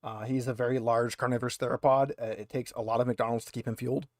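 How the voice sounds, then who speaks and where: A man narrating a tabletop roleplaying game as game master, casual and explanatory, repeating himself haltingly. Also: mid-range voice, little pitch movement, a little mellow, no room sound, studio quality.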